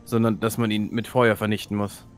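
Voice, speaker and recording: A man's voice: fairly low pitch, level tone, fairly quick, faint music behind. A man talking casually as a player in a tabletop role-playing session.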